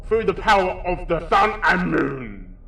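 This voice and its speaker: Man voicing a solemn male exorcist, resolute, gravelly, dramatic swings in pitch, incantatory pauses, horror audio story. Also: big pitch swings, bright, forceful tone, heavily acted. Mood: solemn chanting. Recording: roomy echo, distant from the mic.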